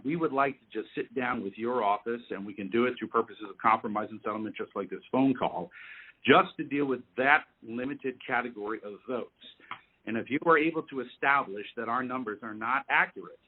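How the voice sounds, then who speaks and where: A man speaking in a formal, measured, lawyerly way as he negotiates on a conference call. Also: medium pitch, slightly rough. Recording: narrow, tinny phone line.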